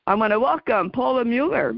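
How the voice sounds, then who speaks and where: A woman hosting a radio talk show, introducing her guest and chatting warmly in the interview. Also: fairly high pitch, lively intonation, brisk, gravelly, no room sound, highs cut off. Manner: warm, chatty, interjects with laughs.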